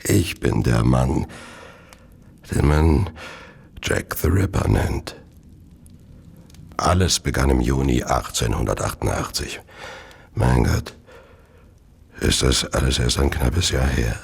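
An actor playing a drug-wrecked merchant in his fifties, a killer confessing in grave, brooding radio drama narration with a gravelly, husky voice. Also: extremely low pitch, slow pace, pauses often, exaggerated voice. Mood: bitter, grave. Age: 50s. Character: James Maybrick, drug-wrecked fifty-year-old merchant, confessed killer.

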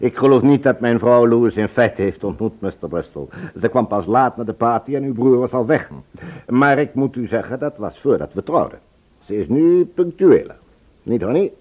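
An actor playing an insistent, genial husband in a radio play. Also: male voice, medium pitch, expressive, normal speed, lightly acted. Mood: smooth, hospitable. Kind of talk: Dutch radio drama acting. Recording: muffled old radio play recording.

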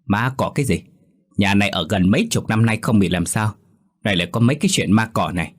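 A man doing a gruff cursing old husband. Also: fairly low pitch, fast. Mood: scolding. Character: coarse, bad-tempered old husband.

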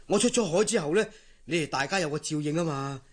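An actor playing a devoted young sailor fiancé in a Cantonese radio drama, earnest and reassuring, with a Hong Kong accent. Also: male voice, on the high side, lively intonation, fast. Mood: tender, reassuring. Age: young adult.

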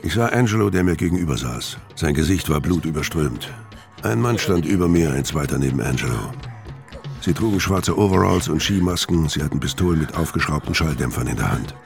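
An actor playing a world-weary career criminal, narrating a noir audio drama in a terse, deadpan way. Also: male voice, a bass voice, a little fast, creaky, heavily acted. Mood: tense, grim. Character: hard-boiled, world-weary male career criminal narrating his story. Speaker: first-person narrator. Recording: music bed under voice.

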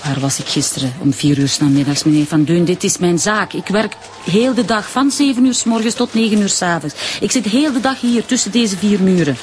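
A woman plays a middle-aged Turkish widow who runs a shop in a radio crime drama. She is proud, protective and indignant, speaking emphatically at a medium pitch. Faint ambience sits behind her. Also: fairly quick, a little mellow, a little hiss or hum, lightly acted. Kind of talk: naturalistic radio drama acting.

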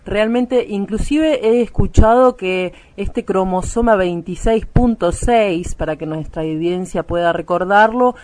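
A woman's voice: mid-range voice, a little mellow, slight background noise, natural voice. A woman playing a courteous, eager radio host with an Argentine accent in a satirical radio play. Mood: eager, earnest. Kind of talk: satirical radio theatre interview.

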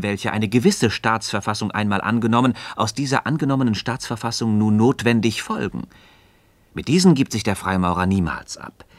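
Thoughtful philosopher, lower register, measured rhetorical delivery, teasing mentor, theatrical radio drama, close dry sound. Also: male voice, dry recording. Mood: insistent, instructive. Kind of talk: German radio play dialogue, literary theatrical diction.

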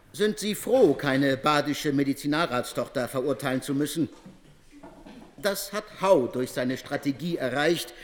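Male narrator for docudrama: higher register, measured, reporting tone, scripted. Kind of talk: scripted documentary-drama narration.

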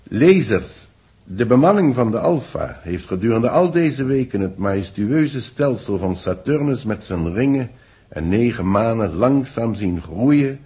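Composed boss in a 1960s radio play: gravelly, low, husky, deliberate. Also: male voice, a little mellow, heavily acted. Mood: solemn dictation. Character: composed older male boss dictating to his secretary. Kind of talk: 1960s radio drama acting.

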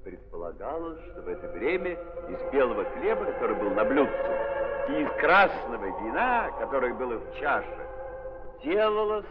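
A man narrating literary prose in a declamatory, deliberate 1930s theatrical style with an ironic edge, on an old archive recording. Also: medium pitch, sing-song, over loud music. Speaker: narrator of a stage-based radio play. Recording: scratchy, tinny 1930s archive recording with hiss.

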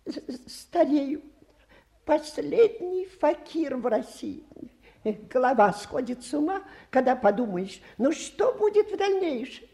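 An old travelling fakir in a radio drama, self-pitying and grand by turns. He speaks slowly with sighing, theatrical patter, and his pitch swings widely from mournful drops to excited peaks. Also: gravelly, full character voice. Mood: excited, lamenting. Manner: plaintive, showy patter with sighs and grand pronouncements. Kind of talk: theatrical radio play acting.

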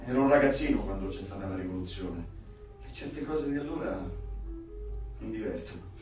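An actor playing a curious but guarded older customer in a radio drama, polite, hesitant and evasive. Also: male voice, low-pitched, animated, moderate pace, a little mellow. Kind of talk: naturalistic radio drama acting. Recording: very echoey, slightly distant, music behind.

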